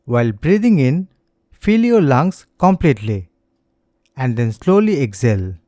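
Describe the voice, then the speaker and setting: A man leading a slow guided meditation as an instructor, speaking in a gentle, soothing, scripted manner. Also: mid-range voice, very animated, dry recording, studio quality.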